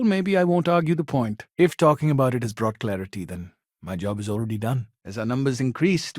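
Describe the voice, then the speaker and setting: Lively-pitched earnest humanist counselor. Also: male voice, dry recording, studio quality. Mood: friendly. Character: earnest, secular humanist male counselor in a sci-fi city. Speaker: video game character. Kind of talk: video game dialogue, conversational.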